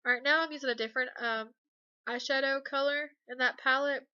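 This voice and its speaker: A young woman talks through a makeup tutorial in an easy, halting way, full of ums and uhs. Her voice sounds congested from a cold, yet bright and somewhat high. The recording is dry and a little dull. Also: no room sound. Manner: relaxed, hesitant, many ums and uhs. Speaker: beauty vlogger. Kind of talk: casual makeup tutorial voice-over.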